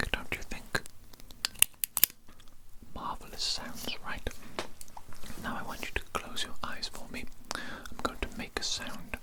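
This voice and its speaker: A man's voice: close to an everyday voice, rough recording. Man acting as evil mad scientist, whispered, condescending, menacing, pompous, close-miked ASMR roleplay. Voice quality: whispered, unvoiced. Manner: deliberate, repetitive, pompous. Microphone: close ASMR microphone.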